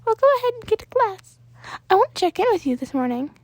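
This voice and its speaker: A woman's voice: extremely high pitch, sing-song, fast talker, no room sound, pitched above the performer's own voice. A woman voicing a small, furry chimera principal who is kindly, sincere and welcoming in a story reading. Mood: cheerful, welcoming. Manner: cheerful, kindly. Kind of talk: storybook character dialogue in fan fiction reading.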